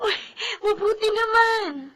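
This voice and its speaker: Very high-pitched emotional young wife. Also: female voice, lively intonation, slow, crowd noise, full character voice. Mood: happy, excited. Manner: highly emotional, tearful delivery. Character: pregnant young wife and mother, loving, emotional, desperate. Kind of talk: Filipino radio soap opera acting. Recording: dramatic music under the voice.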